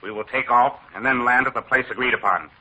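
A man's voice: medium pitch, pushed and bright, slightly rough, dull audio. An actor playing a cold, formal, scheming male villain leader in a 1940s radio drama. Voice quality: measured, formal, menacing.

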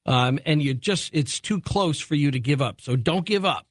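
A man hosting a call-in radio show, talking briskly and encouragingly as he hurries to fit in callers. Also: fairly high pitch, animated, no room sound, clean studio sound. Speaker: radio talk show host.

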